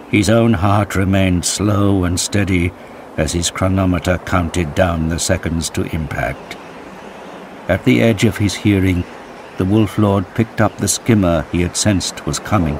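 A man giving dramatic, scripted narration of an action audio drama in a grave, measured storytelling manner. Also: deep voice, a little mellow, slight background noise.

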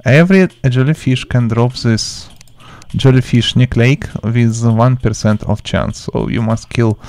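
Male game tutorial host, medium pitch, non-native English speaker, halting delivery in short chunks, light music behind. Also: a little mellow. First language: not English. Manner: halting, choppy phrasing with broken grammar. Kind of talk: gameplay tutorial commentary.

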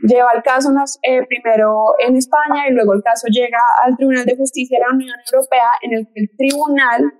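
A woman's voice: high voice, steady tone, brisk, hardly any pauses, poor audio. A young woman with a Colombian accent, a lawyer and researcher giving an online lecture over a headset mic, explaining patiently with fillers and restarts. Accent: Colombian Spanish. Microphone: headset mic on a video call.

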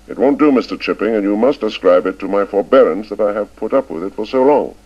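A man's voice: on the low side, sing-song, normal speed, darkish tone, voice pushed up. A man voicing a cold, stern middle-aged headmaster who speaks in a clipped, formal way. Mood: icy. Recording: dull lo-fi recording.

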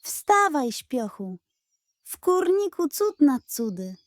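A woman voicing a cunning, gossipy, excitable male cat in a children's storybook, hissing and urgent. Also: high voice, takes it slowly, no room sound, pitched above the performer's own voice, full character voice. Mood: excited.

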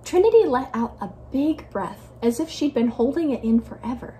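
A young American woman reading a children's story aloud at home as a library storyteller, expressive and carefully enunciated. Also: high-pitched, moderate pace, a little hiss or hum. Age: young adult. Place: living room at home, indoors.

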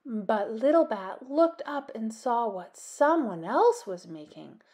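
A woman, reading a children's storybook aloud in an expressive, deliberate way. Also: on the high side. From Canada. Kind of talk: animated storybook read-aloud.

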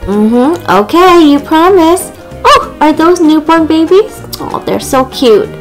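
A woman puts on a young mother who is high-voiced, tender and reassuring. She coos affectionately as in a children's toy story, with music playing behind. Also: lively intonation, slow. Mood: relieved, fond. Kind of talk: toy doll roleplay.

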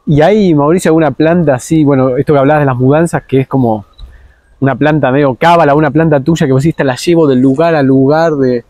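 A man's voice: fairly high pitch, fast, mellow, no room sound. A young man with a Rioplatense Argentine accent, chatting casually as an interviewer outdoors. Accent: Rioplatense Argentine Spanish. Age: young adult. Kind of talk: casual chat. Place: outdoors in a garden.

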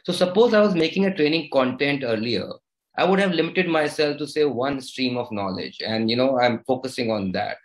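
A man speaks from a book-lined home study over a laptop call. He builds his points in emphatic runs. His voice sits in a typical middle pitch. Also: expressive, brisk, poor audio. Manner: listing points in emphatic series. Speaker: panelist, HR head. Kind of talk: online panel discussion. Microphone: laptop video call.

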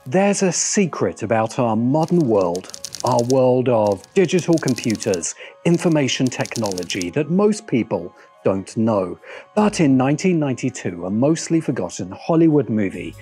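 Middle-aged man, lively wry film commentary. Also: medium pitch. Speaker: video essay host. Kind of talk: film commentary video essay. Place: open-air veranda.

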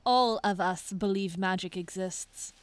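A woman's voice: mid-range voice, unhurried, dry recording, natural voice. A woman playing a shrewd, level-headed young seafarer in a fantasy audio drama, matter-of-fact in delivery. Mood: calm, shrewd.

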